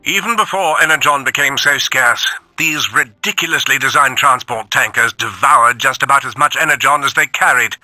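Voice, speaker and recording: An actor playing a brisk robot war officer giving emphatic, instructive briefings in a video game audio log. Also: male voice, medium pitch, pushed and bright, no echo, soft background music, poor audio. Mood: disapproving.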